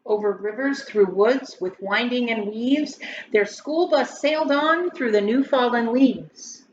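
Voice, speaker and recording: A woman with a fairly high voice reads a storybook to schoolchildren in a theatrical, emphatic way. The room is echoey, and the recording is a bit dull with faint noise. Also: unhurried. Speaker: grade school educator reading aloud to pupils. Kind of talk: expressive children's storybook read-aloud. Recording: roomy echo, dull sound, faint noise.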